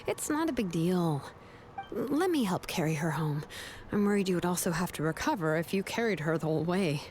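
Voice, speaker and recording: A woman voicing a brisk adult smith in a video game who smooths over awkward moments. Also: on the low side, lively intonation, a little mellow, slight background noise, close to an everyday voice. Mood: reassuring. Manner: brisk, reassuring, covers awkwardness.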